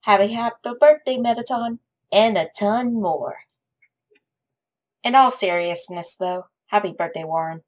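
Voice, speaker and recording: A woman's voice: medium pitch, measured pace. A young adult woman recording a playful greeting message. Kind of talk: birthday message with puns.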